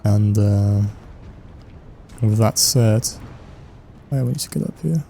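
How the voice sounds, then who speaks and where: A man's voice: fairly low pitch, takes it slowly, creaky, mellow, a little hiss or hum. A young Danish man with a Danish accent, chatting casually while playing, with many fillers and restarts. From Denmark. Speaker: gaming YouTuber. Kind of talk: casual gameplay chat.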